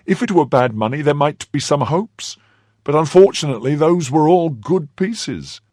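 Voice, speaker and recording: Male reader putting on a fretful innkeeper, pleading, pitched up, lively. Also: normal speed, dry recording, studio quality. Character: poor whining innkeeper, fearful and fawning.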